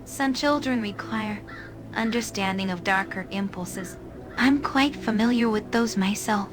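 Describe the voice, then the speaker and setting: A sly, seductive female dark creature from a fantasy game, voiced by a woman, speaks at a middling pitch. She is ancient, cruel and elegantly teasing. Faint game ambience sits behind her. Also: measured pace, noisy background, close to an everyday voice. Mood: sly, sinister. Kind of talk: in-character game companion dialogue.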